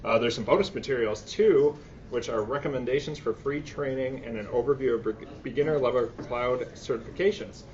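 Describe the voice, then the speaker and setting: A man's voice: brisk, darkish tone. A man moderating a session, reading a speaker introduction from notes with some fillers. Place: conference room. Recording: muffled conference audio with faint rumble.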